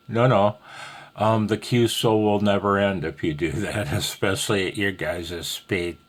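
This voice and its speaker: Male instructor, low voice, unhurried delivery, folksy and self-deprecating, a touch nervous, informal rambling lesson. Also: fairly even intonation. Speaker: amateur radio instructor. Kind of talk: casual, rambling tutorial talk.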